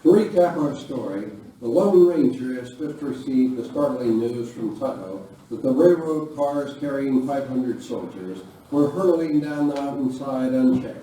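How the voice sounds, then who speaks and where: A man's voice: medium pitch, lively intonation, measured pace, hardly any pauses, mellow. A man reading scripted narration for a live radio play, as its narrator. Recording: very echoey hall, distant mic, faint noise.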